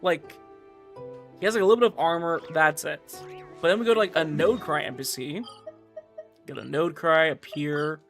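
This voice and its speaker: A man streaming a game and chatting casually, rambling and excitable, repeating himself for emphasis. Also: a little high, normal speed, long pauses. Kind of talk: casual livestream chat about a game. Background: faint music.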